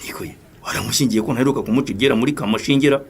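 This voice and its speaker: A Rwandan man playing a jovial, chatty debtor who flatters eagerly in a radio drama. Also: mid-range voice, racing, slight background noise, lightly acted. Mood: chatty, nosy. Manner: chatty, chuckling, ingratiating.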